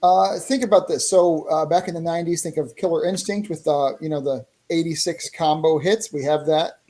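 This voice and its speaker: A man with a fairly high but very mellow, soft-edged voice. He talks as an interview guest in a casual podcast style, explaining things eagerly and doubling back on his phrases. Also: normal speed. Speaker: interview guest, indie comic creator promoting a fighting game.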